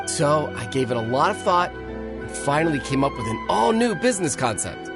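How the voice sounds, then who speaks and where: An actor playing an earnest, eager food stall vendor in a video game, deferential and keen to explain. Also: male voice, fairly high pitch, big pitch swings, moderate pace, over music. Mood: enthusiastic, earnest. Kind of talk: video game character acting.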